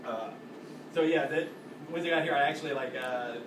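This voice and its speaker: A man's voice: medium pitch, moderate pace. A man in his forties giving an informal, rambling, self-deprecating speech at his military retirement ceremony. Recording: echoey hall, heard from a distance, loud noise.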